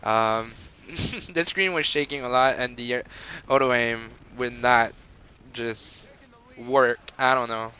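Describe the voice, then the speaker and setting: A man's voice: medium pitch, takes it slowly, few pauses, bright, forceful tone, poor audio. A young man with a Quebec French accent, rambling through casual gameplay commentary with lots of fillers. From Montreal, Canada. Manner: rambling and chatty, with frequent fillers and laughs. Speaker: gamer.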